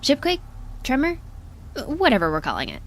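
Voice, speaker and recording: A woman playing a brisk, wry, blunt spaceship crew member in a science fiction audio drama, talking casually. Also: high-pitched, wildly swooping pitch, a bit bright, a little hiss or hum, full character voice.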